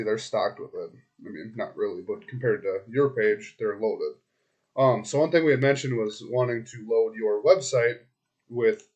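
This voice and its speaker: Man, hoarse, mid-pitched, web consultant narrating his screen in a loose, chatty way, thinking out loud with frequent ums. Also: dull audio. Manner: talks to the client directly, loose and chatty, thinks aloud with many ums and restarts. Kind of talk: casual screen-recorded walkthrough.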